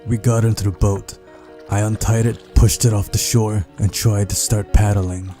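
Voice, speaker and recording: A man's voice: lowish voice, little pitch movement, normal speed, dark tone. A man hosting a visual novel playthrough, reading the story aloud with casual, playful asides over game music. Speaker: video game playthrough host.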